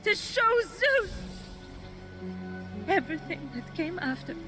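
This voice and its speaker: An actress playing an emotional, theatrical goddess of love in a video game. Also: female voice, very high-pitched, very animated, slow, pushed and bright, soft background music, heavily acted. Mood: remorseful. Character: anguished, dramatic goddess of love.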